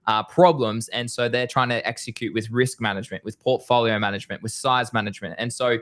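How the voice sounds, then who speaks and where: A man speaking quickly and fluently as a trading coach, laying out his points in a brisk, explanatory manner. His pitch is middling and his delivery rapid. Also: fairly even intonation, a bit bright. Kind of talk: casual podcast chat.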